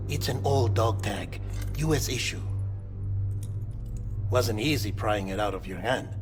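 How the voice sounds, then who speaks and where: An actor playing a melancholy, earnest lab-grown man in a cinematic video game, speaking with deliberate, confessional phrasing. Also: mid-range voice, close to an everyday voice. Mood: matter-of-fact. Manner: deliberate, storytelling delivery. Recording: background music under dialogue.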